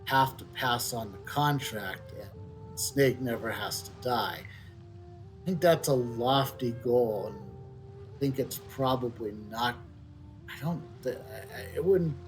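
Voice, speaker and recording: A middle-aged man streams a game and thinks aloud at a slow pace. His delivery is casual and halting, circling back over words as he reasons things out. Quiet music plays behind him. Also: mid-range voice. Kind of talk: casual unscripted commentary over a video game. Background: soft video game music.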